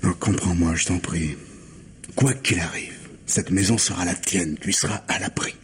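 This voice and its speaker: An actor playing a weary, evasive man of forty, an anxious and loving husband who speaks haltingly and pleadingly in an old French radio mystery. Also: low voice, slight background noise, close to an everyday voice. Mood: weary, tender. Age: 40s.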